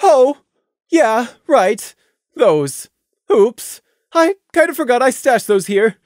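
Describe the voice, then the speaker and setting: A woman voicing a bubbly, cheerful female pony officer who talks loudly and pushily. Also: on the high side, animated, slow, dry recording, clean studio sound, pitched above the performer's own voice. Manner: chirpy, pushy, loud.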